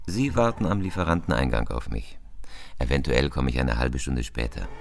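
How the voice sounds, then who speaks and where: This is a cold professional robber in a crime radio play. The voice is low and commanding, with a wide swing in intonation. He speaks curtly, as if stating plain facts, and it sounds threatening. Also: animated, moderate pace, creaky, heavily acted. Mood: controlled, curt. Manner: curt, matter-of-fact, menacing. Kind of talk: crime radio drama acting.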